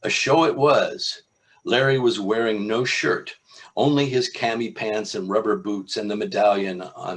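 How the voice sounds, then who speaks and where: A man, an author, reading from his own novel in a measured literary style with informal asides. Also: on the low side, a bit bright, low-quality recording.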